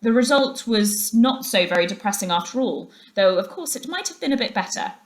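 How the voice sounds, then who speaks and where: A woman's voice: a little high, lively intonation, a little fast, reverberant. A woman narrating a children's story aloud as a teacher reading to a class.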